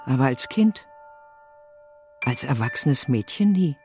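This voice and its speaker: A woman's voice: very deep voice, animated, measured pace, a little mellow, slightly rough, faint music behind, full character voice. An actress playing an affectionate, motherly old nursemaid in a radio drama, speaking in a tender, doting way. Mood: sorrowful, confiding.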